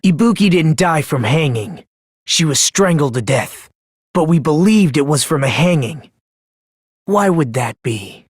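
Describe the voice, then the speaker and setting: Game role: teenage boy, courteous yet condescending, self-effacing and quietly unnerving. Dry studio sound. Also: medium pitch, no room sound. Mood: pointed, incredulous. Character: polite, unsettling, self-deprecating teenage boy with condescending streak. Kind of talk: video game voice acting.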